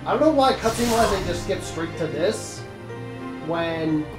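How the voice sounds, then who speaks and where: A man's voice: high-pitched, lively intonation, moderate pace. Man, let's play host, knowledgeable, matter-of-fact, roomy sound, music behind. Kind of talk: casual game commentary. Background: game music.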